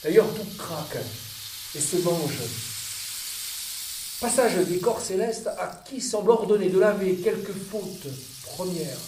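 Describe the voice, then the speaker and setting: Slow, roomy poetic recitation by a man. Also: high voice. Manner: measured, deliberate phrasing with pauses. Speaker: monologue performer. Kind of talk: poetic dramatic monologue recitation. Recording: roomy, distant, noisy with water-like hiss.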